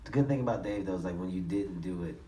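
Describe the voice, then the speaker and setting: A man, an actor, with a very deep voice, joking dryly on a press panel. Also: fast talker, warm tone. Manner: joking, dry, teasing. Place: press conference room. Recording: roomy hall from a distance with noise.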